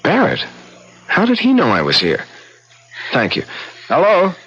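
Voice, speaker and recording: Animated, earnest fellow on old radio. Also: male voice, high-pitched, a little slow, husky, lo-fi sound, exaggerated voice. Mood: upbeat, placating. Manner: earnest, placating, hesitant under pressure. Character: earnest, evasive man guarding his camera, reasoning and protesting. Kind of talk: 1970s radio drama acting. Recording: hissy 1970s radio drama recording.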